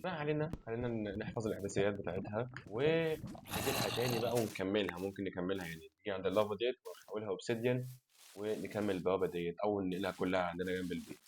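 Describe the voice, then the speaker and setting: A man with an Egyptian Arabic accent giving let's-play commentary to his viewers. He is tired and has a sore throat, and he sounds chatty, excitable and exasperated. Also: lowish voice, a little hiss or hum. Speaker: gaming channel host.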